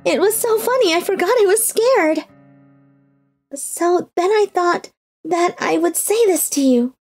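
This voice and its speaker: A woman's voice: very high voice, lively intonation, measured pace, a little mellow. A woman voicing an earnest teenage girl in a dubbed manga story. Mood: shy, earnest. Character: earnest shy teenage high school girl, later playful young bride. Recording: music under the voice.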